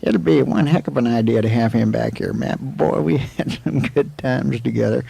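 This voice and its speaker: A man playing a folksy, jokey, aging frontier town doctor in a western radio drama, with a rambling, stammering delivery and a gravelly voice. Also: mid-range voice, normal speed, dark tone. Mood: amused. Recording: faint noise, old 1984 amateur recording.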